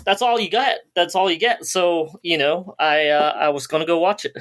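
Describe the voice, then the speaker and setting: A man's voice: on the high side, normal speed, a bit bright. A man co-hosting a podcast, chatting and reminiscing in a casual way. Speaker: podcast co-host.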